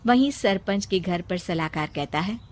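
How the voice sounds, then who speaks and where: A woman's voice: lowish voice, animated, normal speed, a little mellow. A woman reading scripted narration for a moral story as the storyteller.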